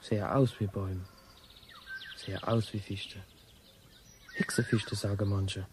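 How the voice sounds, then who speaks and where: Woodsman: wary, stubborn, brooding, low register, clipped folksy delivery, faint outdoor noise. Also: level tone, slow pace. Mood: brooding, insistent. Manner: terse, brooding, colloquial.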